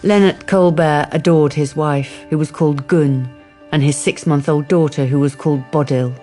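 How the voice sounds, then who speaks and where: A woman's voice: deep voice, steady tone, normal speed, mellow, soft background music. A woman reading scripted literary narration for a radio drama.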